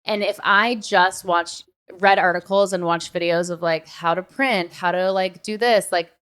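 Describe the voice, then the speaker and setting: A woman with a fairly low, smooth and resonant voice talks casually as a podcast host. She speaks emphatically, peppering her thoughts with conversational filler words like "literally.". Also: steady tone, a little slow, fairly bright tone.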